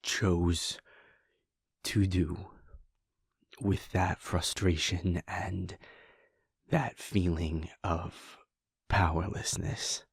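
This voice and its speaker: A man voicing a caring male werewolf boyfriend in an audio roleplay, talking softly and earnestly, often half-whispered. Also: low voice, steady tone, very slow, gravelly, pauses often, breathy edge, no room sound, clean studio sound, exaggerated voice. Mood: bitter.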